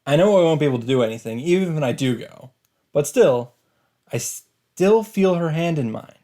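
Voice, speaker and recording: A man's voice: on the high side, animated, normal speed, warm tone. A man let's player reading visual novel narration dramatically, with casual commentary of his own. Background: game music.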